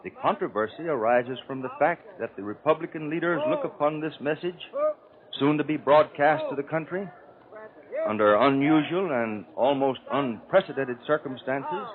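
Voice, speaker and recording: An American man giving formal political commentary on 1930s radio, speaking in measured, emphatic, oratorical phrases. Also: medium pitch, lively intonation, crowd noise. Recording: old 1930s radio broadcast, narrow tinny band.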